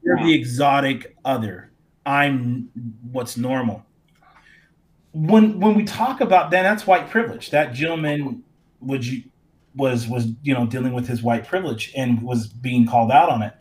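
A man's voice: mid-range voice, moderate pace. A man who works as a college professor, talking in a casual podcast chat. He explains ideas like a teacher and mixes in laid-back slang.

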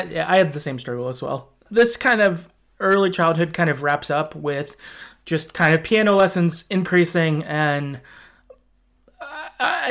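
A man's voice: on the high side, creaky, a bit bright. A man hosting a podcast, talking casually and a little hesitantly as he recaps a video game's story. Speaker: podcast host.

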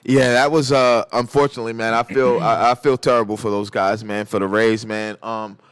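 A man's voice: on the low side, level tone, brisk, smooth, dry recording, studio quality. Casual man talking sports. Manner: informal, peppers sentences with "man" and "like". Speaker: sports talk show host. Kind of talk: casual sports and pop culture chat.

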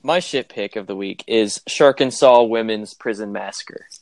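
A man's voice: on the low side, moderate pace, darkish tone, no room sound. A man in his mid twenties chatting casually as a podcast co-host, laid-back and joking. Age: mid 20s. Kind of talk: casual chat.

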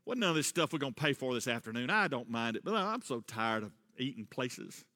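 A middle-aged man preaching a sermon, rising into loud emphatic refrains and dropping back to a quieter tone. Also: medium pitch, big pitch swings, fairly quick. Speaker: pastor. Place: church sanctuary. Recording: long church reverb.

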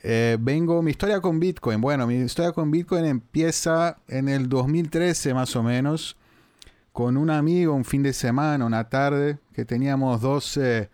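A man from Brazil speaks with a Brazilian Portuguese accent and a smooth, clear voice. He tells his story in a friendly, halting way, with an easy laugh. The sound is dry and close. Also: mid-range voice, a little mellow, dry recording. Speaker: podcast guest. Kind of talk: casual storytelling in an interview.

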